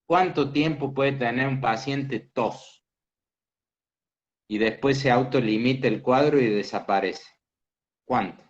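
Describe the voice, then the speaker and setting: A man teaching a medical class, explaining slowly and asking students questions. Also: medium pitch. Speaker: medical lecturer. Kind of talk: interactive lecture. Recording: dull lo-fi class recording.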